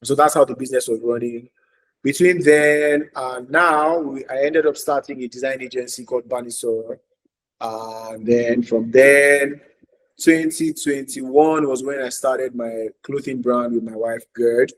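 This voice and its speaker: A man's voice: medium pitch, fairly even intonation, a little slow, low-quality recording. A man, earnestly telling his story as a podcast guest, repeating phrases for emphasis. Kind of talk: casual podcast interview.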